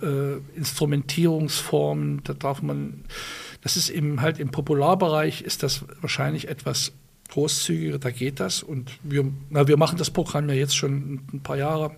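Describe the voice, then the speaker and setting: A man's voice: fairly high pitch, gravelly. A male musician and guitarist being interviewed, speaking thoughtfully in his native German with many restarts and fillers. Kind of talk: conversational interview.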